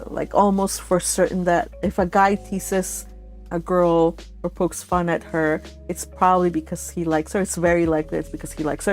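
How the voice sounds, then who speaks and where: A millennial woman hosting a book read-along livestream, chatting casually with viewers in an animated, giggly, exclaiming way. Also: lowish voice. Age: 30s to early 40s. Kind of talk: casual live chat with viewers.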